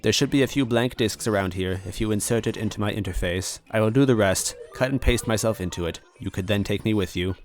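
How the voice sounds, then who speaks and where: Man voicing a low, lonely, formal computer. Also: a little fast, hardly any pauses, natural voice. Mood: pleading, lonely. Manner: formal, stilted, precise wording. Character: lonely, apologetic obsolete supercomputer, formal and polite.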